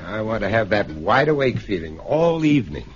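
An actor playing a wry, suspicious professor, dry and pointed, in a 1970s radio drama. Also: male voice, fairly low pitch, big pitch swings, normal speed, a little hiss or hum. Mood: dry, probing. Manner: dry, pointed, self-deprecating. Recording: dull, muffled 1970s radio recording.

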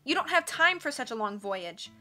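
A bookish young boy from a storybook, acted by a woman. He pleads earnestly and insistently in a high, bright voice over faint music. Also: sing-song, normal speed, full character voice. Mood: pleading.

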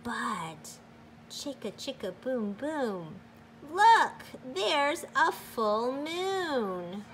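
Very slow-paced woman reading a picture book aloud. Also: on the high side, very animated, slight background noise. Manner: slow, deliberate, sing-song with emphatic exclamations. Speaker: teacher reading aloud to children.

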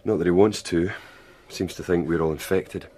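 An actor playing a gruff, resolute Antarctic expedition man, terse and grim, in a radio drama. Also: sits low, fairly even intonation, moderate pace, mellow, slight background noise, natural voice. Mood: wary.

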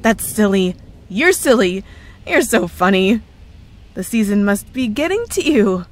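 A woman's voice: mid-range voice, big pitch swings, unhurried. A woman playing a cheerful, evasive young girlfriend who is secretly a witch, in a playful ASMR roleplay with feigned innocence. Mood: flustered, teasing. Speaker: audio roleplay voice actress. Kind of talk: ASMR roleplay, scripted one-sided dialogue.

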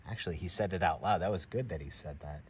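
A middle-aged man reads a picture book aloud to children in an expressive, encouraging way, with friendly asides to his listeners. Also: low-pitched, fast. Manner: expressive, encouraging, addresses children and animal directly. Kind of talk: animated storybook reading with friendly asides to young listeners. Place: outdoors in a grassy farmyard by a barn. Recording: clear outdoor background ambience.

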